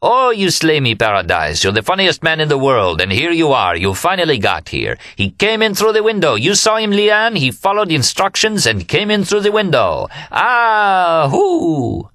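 Man acting out a high-pitched, whooping, boisterous young hipster. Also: huge pitch swings, normal speed, hardly any pauses, dry recording, heavily acted. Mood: hysterical glee. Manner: shouting, whooping, hip jazz slang. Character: exuberant jive-talking young French man, boisterous, roaring with laughter. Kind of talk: dramatised dialogue in an audiobook.

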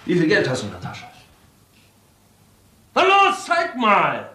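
A crude middle-aged father in a crime radio play, full of contempt. His voice is extremely high for a man and leaps about wildly, with a roomy sound around it. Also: wildly swooping pitch, measured pace, exaggerated voice. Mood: scornful rage. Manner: shouting, sneering, coarse.

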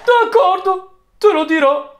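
A man voicing a tiny, defiant, tearful gingerbread man in a shrill, melodramatic cartoon fan dub. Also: lively intonation, slow pace, full character voice. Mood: nervous, insistent. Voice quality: squeaky, strained, high. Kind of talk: fan dub of an animated comedy. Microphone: studio microphone on boom arm. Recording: distant, echoing voice over loud film music.

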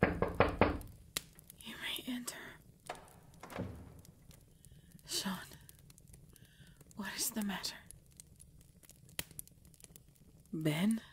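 A woman playing a proud, pregnant vampire leader in pain, speaking formally and dramatically in an ASMR roleplay with breathy drops toward a whisper. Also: medium pitch, lively intonation, a little hiss or hum. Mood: commanding. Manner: slow, formal, dramatic, often dropping to whispers.

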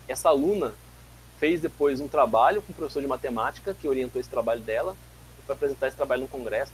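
Animated man lecturing on a video call. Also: on the high side, a little hiss or hum, low-quality recording. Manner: animated, gestures a lot, tells anecdotes with many restarts. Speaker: guest lecturer, physics teacher. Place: home office with bookshelves. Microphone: webcam mic on a video call.

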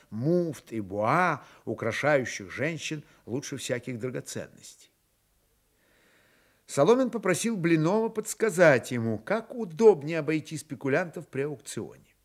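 A man narrating a literary radio drama in a storytelling style. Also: medium pitch.

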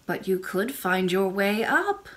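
A woman voicing a very old, kindly and mysterious royal great-great-grandmother in a storybook, speaking in a calm, measured way. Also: mid-range voice. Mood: gentle concern. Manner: measured, gently assured.

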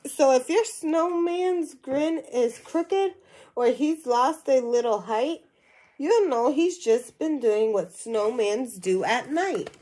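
A woman's voice: high-pitched, animated, slow, mellow, low-quality recording. A young woman reading a children's picture book aloud, adding simple questions and asides for young listeners. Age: young adult. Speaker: storybook reader. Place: small indoor room at home.

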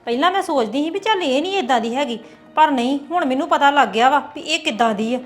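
A woman's voice: high voice, lively intonation, quick pace, soft background music. An actress playing a quarrelsome woman of the household who mocks and scolds another woman. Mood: scornful. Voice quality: scornful, mocking. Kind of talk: family drama acting.

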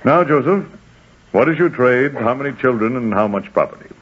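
Haughty Roman census-taker: curt, world-weary, very varied intonation, old radio drama with dull sound. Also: male voice, medium pitch, lively intonation, brisk, breathy edge. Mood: curt, softening. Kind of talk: 1940s radio drama acting. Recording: dull, muffled 1940s radio recording.

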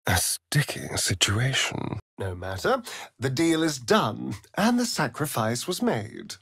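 Vain, flirtatious British vampire rogue for a fantasy game: slow, arch delivery with big swoops in pitch. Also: male voice, very animated, vocal fry, fairly bright tone, slightly rough, no room sound, studio quality, exaggerated voice. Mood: curious, brisk. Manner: arch, theatrical, drawling, mocking. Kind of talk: theatrical video game character acting.

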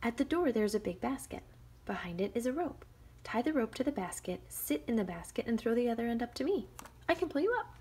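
Woman doing a clever girl, high and bright. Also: normal speed, breathy edge. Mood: eager. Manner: bright, eager and lively. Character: clever eldest girl, bold and quick-witted.

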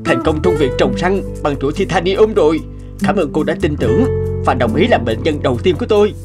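An earnest, excitable inventor doctor from a cartoon, acted by a man. His voice sits a little high and swings with eager animation. He sounds keen and sincere. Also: a little fast, over music, natural voice. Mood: triumphant. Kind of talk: cartoon dub acting.

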